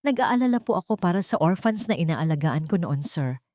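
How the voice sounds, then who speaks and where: A woman's voice: on the low side, very animated, a little fast, darkish tone, no room sound, studio quality. A woman voicing a determined, pleading nanny in a dramatic audio story. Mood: pleading, reproachful. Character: determined, pleading nanny secretly plotting revenge.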